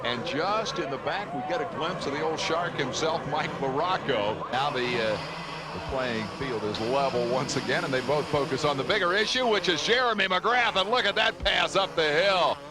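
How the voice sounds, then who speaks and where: A man's voice: a little high, expressive, normal speed. A man calling motocross play-by-play as lead commentator, in punchy, dramatic phrasing. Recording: engine and outdoor noise behind voice. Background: motocross race noise.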